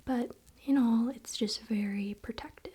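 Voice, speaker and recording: A woman whispering softly in a soothing, relaxed and informal way, as an ASMR host. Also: fairly high pitch, unhurried, slightly rough. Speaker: ASMR video host and spiritual practitioner. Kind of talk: soft-spoken whispered ASMR.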